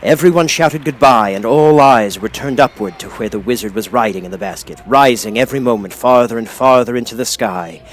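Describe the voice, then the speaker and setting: A man's voice: mid-range voice, fairly quick, hardly any pauses, slight background noise, low-quality recording. A man reading a children's story as scripted audiobook narration. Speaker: audiobook reader.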